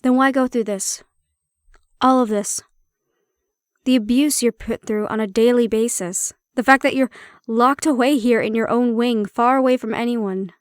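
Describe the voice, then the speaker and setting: A woman playing a prickly but caring handler in an intimate audio roleplay, speaking in a measured, probing way. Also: fairly high pitch, clear, resonant tone, no echo, studio quality, close to an everyday voice. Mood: gentle, sympathetic. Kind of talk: intimate audio roleplay acting, one-sided conversation.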